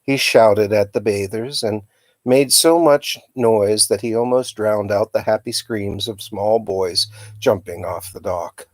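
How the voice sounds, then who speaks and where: A man reading a children's novel aloud as a storyteller, with a dramatic, expressive delivery. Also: on the low side, a little slow, dry recording. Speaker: storytime reader.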